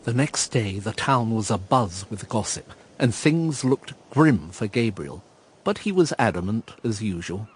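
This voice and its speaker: A man's voice: on the low side, big pitch swings, a little slow, breathy edge, heavily acted, rough recording. An actor playing a wry, reflective man narrating his memories in a radio drama. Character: wry, reflective man recalling past events. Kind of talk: scripted first-person radio drama narration.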